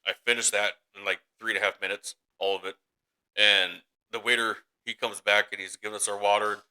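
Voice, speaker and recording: A man's voice: on the low side, little pitch movement, fairly quick, sharp tone, clear, resonant tone. A man co-hosting a casual podcast with his brother, telling rambling stories and laughing often. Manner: rambling storyteller, laughs a lot, acts out voices in anecdotes. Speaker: podcast co-host. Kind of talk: casual chat between brothers.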